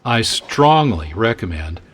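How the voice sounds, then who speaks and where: A man hosting a radio program, reading a scripted introduction with slow, measured and deliberate phrasing. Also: fairly low pitch, very animated, husky.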